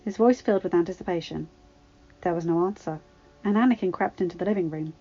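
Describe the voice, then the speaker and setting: A woman's voice: fairly low pitch, fast, dark tone, soft background music. Woman narrating, slightly dull recording. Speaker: podfic narrator. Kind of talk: expressive scripted story narration.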